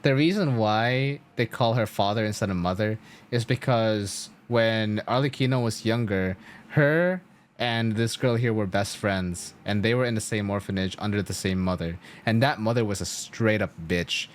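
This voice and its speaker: A man's voice: lowish voice, moderate pace, slight background noise. A young man streaming a game, explaining its story in a casual, rambling way with emphatic storytelling and loose swearing.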